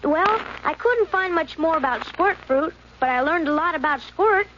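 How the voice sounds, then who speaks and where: A woman's voice: extremely high pitch, expressive, moderate pace. A woman voicing an earnest schoolboy reciting a naive class report in a scripted radio ad. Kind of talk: scripted 1970s radio ad acting. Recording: dull, faintly noisy radio recording.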